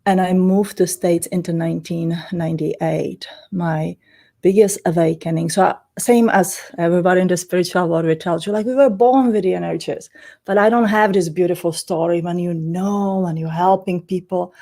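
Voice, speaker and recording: A woman's voice: lowish voice, fairly even intonation, moderate pace, gravelly, mellow. A middle-aged woman from the Czech Republic with a Czech accent, a native Czech speaker, explaining things casually and in a rambling way as an interview guest. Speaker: interview guest, energy healer.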